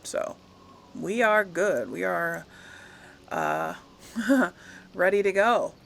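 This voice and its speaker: A woman's voice: fairly low pitch, huge pitch swings, extremely slow, creaky. A woman giving casual, rambling commentary as she plays a game, often correcting herself and lightly amused. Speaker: gaming host.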